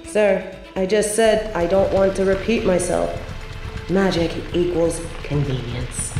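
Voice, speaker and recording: Woman acting a smug bored sorceress in an audio drama, lowish voice, sarcastic, music behind her. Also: a little slow, dark tone, echoey room, natural voice, poor audio. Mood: haughty, weary. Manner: sardonic, condescending. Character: smug, bored superpowered sorceress turned investigative journalist.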